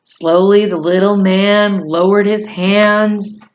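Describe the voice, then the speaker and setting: A woman reading a storybook aloud as narrator, in measured, deliberate phrases. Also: mid-range voice, steady tone, dull audio.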